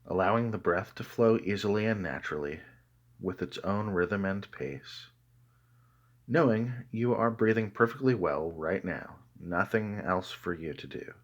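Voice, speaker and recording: Deep voiced guy leading a meditation, really slow n calm, lots of pauses. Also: highs cut off. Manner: calm, measured, with deliberate pauses between phrases. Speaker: meditation guide. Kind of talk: guided meditation narration.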